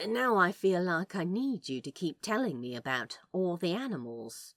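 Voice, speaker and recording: A woman's voice: fairly low pitch, sing-song, moderate pace, a bit bright. A woman voicing a laid-back, shyly charmed man with a casual drawling manner in a fan audio drama.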